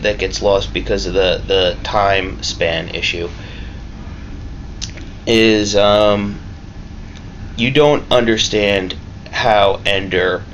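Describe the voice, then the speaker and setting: A low-voiced man talks off the cuff as an amateur reviewer, opinionated and casual. He halts and rambles, then leans hard on his points with the odd curse. The recording is dull and lo-fi, with faint background noise. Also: a bit bright. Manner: rambling, halting, emphatic, profane.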